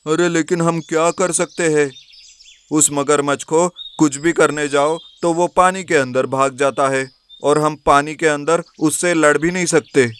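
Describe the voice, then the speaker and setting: A man's voice: fairly high pitch, fairly even intonation, unhurried, a bit bright, clear, resonant tone, pitched above the performer's own voice. A man voicing a worried, earnest elephant in an animal fable, exclaiming fretfully.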